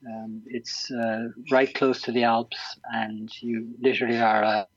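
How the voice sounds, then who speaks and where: A man's voice: mid-range voice, flat delivery, unhurried, slightly rough, no echo, dull audio. A man in his sixties with an Irish accent, an interview guest talking casually with many ums and restarts. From Ireland. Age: 60s. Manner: hesitant, lots of ums and restarts.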